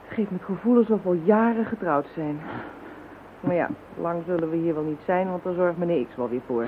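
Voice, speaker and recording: An actress playing a brisk young female police inspector in a radio drama. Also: lowish voice, phone-quality sound, slight background noise, lightly acted. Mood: relaxed.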